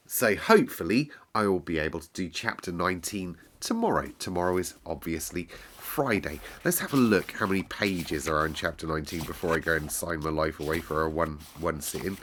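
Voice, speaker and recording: A low-voiced man chats casually as a storytelling host. He sounds chesty and short of breath from a cold, yet his intonation is lively and playful, with repeated words. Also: normal speed, a little hiss or hum. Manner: chatty, self-deprecating, playful repetition. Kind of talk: casual chat to viewers.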